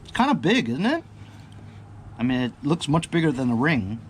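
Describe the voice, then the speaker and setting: A man's voice: on the high side, very animated, normal speed. A man doing a casual, unscripted product unboxing, rambling and thinking aloud while often checking in with the viewer. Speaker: product reviewer. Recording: clear background ambient noise.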